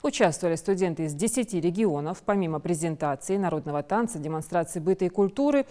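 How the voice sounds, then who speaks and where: A woman news anchor reading from the studio in a calm, formal way. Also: fairly low pitch, fast talker.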